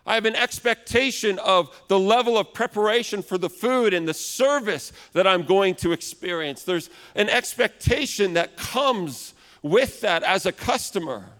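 A man in his thirties preaching a sermon in a loud, big voice, now and then acting out complaining customers. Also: high-pitched, expressive, moderate pace, few pauses. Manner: acts out complaining customers in raised voice. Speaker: pastor. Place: large church hall. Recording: long hall reverb.

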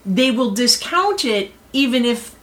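A woman's voice: fairly high pitch, very animated, a little slow, a bit bright. A woman explaining her view in casual discussion, repeating phrases for emphasis. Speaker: voice actor on a round-table.

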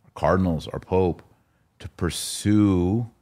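Very deep-voiced man chatting on a podcast. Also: takes it slowly, warm tone. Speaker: podcast host. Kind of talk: casual podcast chat.

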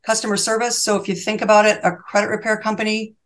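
A woman's voice: mid-range voice, flat delivery, fast. A woman in her sixties, a reverse mortgage specialist guesting on an interview show, talking in earnest, fervent, run-on sentences that she often restarts. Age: 60s. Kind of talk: interview conversation.

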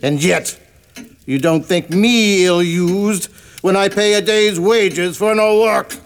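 High-pitched, miserly old grouch. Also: male voice, takes it slowly, smooth. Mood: scornful, grudging. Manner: scornful, curt, dramatically swooping delivery. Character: bitter, miserly old businessman, scornful and grudging. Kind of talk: family radio drama acting.

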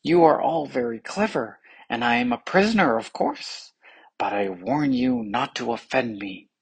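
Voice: mid-range voice, animated, normal speed, creaky, husky. A storyteller voicing a boastful, vengeful talking tongue that gloats slyly in a children's tale. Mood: warning, indignant.

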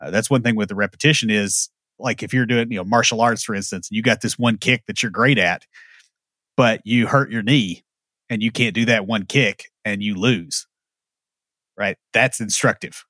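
Male podcast co-host, lower pitch, thoughtful explainer, dry close mic. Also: moderate pace, pushed and bright, no echo. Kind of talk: casual conversation.

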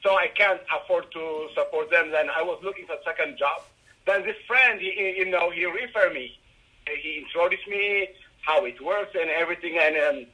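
A middle-aged man from Ethiopia with an Ethiopian accent, answering interview questions haltingly with many fillers and restarts. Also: fairly high pitch, slightly rough, dull audio. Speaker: guest, rideshare driver.

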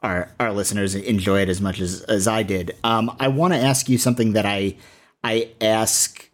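Lower-pitched man hosting a podcast. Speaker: podcast host.

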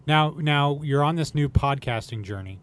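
A man's voice: fairly high pitch, lively intonation, normal speed. A Canadian man hosting a casual podcast interview, encouraging his guests and joking along. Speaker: podcast host, advertising worker.

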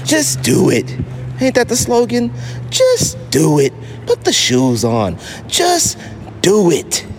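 A man hosting a podcast, giving a casual, opinionated monologue in an animated, slangy way. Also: high voice, slow pace, a little hiss or hum. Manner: animated, slangy, acts out imagined quotes. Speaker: podcast host.

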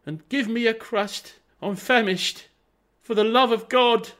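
A man's voice: very high voice, fairly even intonation, unhurried, fairly bright tone. A man voicing a starving, devoted wife with pleading anguish in a dramatic poem recital. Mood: desperate begging.

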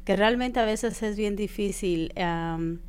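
A woman's voice: medium pitch, slow, a little mellow, smooth. A middle-aged woman interviewing students, asking friendly questions in an encouraging manner with many fillers.

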